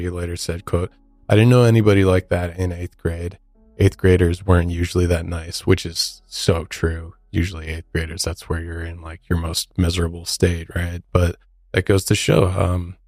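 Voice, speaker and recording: Low-voiced American man telling true crime. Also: a little mellow, no echo. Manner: conversational, informal asides. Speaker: podcast host. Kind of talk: true crime storytelling with casual commentary.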